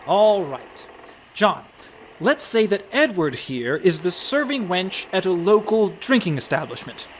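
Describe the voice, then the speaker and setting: A man's voice: high-pitched, very animated, a little slow, slight background noise. A man playing a prissy, indignant and reluctant young pirate in a comedic radio play. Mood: exasperated. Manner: fussy, exasperated.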